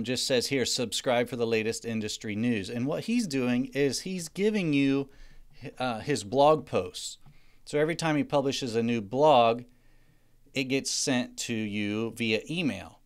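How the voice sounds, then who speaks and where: Male presenter for screencast tutorial, smooth clean voice, mid pitch, plain instructional delivery. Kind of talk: explanatory screencast narration. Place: indoors, voice-over to screen recording.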